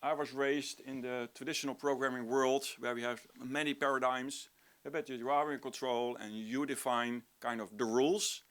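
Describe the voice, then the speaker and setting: A man's voice: medium pitch, fairly even intonation, normal speed, a bit bright. A middle-aged man from the Netherlands with a Dutch accent, a native Dutch speaker, presenting informally as a university lecturer at a conference and often restarting as he searches for words. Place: conference room, before an audience.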